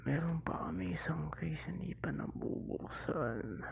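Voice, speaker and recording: Drunk young Filipino boyfriend , played by a man, ASMR roleplay. Very gravelly, breathy half-whisper, drawling, playful and clingy. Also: on the high side, measured pace, exaggerated voice. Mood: stubborn, tipsy. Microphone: close lapel mic into a mobile phone.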